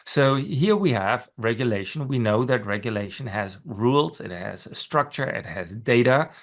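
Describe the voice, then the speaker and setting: A middle-aged man with a German accent, speaking as a panelist and course instructor in a relaxed webinar discussion from his office. He explains his points at length, often checks in with a quick "right?" and laughs at himself now and then. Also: medium pitch. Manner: explanatory, with frequent "right?" tags and self-deprecating laughs. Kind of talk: conversational webinar talk. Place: home office.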